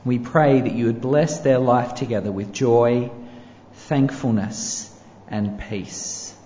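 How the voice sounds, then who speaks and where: A male pastor prays aloud with a measured, solemn cadence and a mellow, dark tone. The voice rings through a large church hall with long reverb, over faint room ambience, and sounds somewhat muffled. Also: mid-range voice, steady tone, a little hiss or hum. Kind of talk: public prayer.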